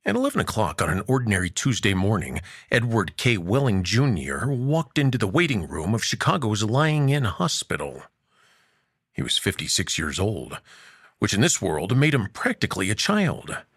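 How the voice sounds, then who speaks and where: Husky man telling a dramatic story. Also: moderate pace, no room sound, studio quality. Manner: expressive, swinging between hushed low lines and lifted emphatic ones. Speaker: host and narrator.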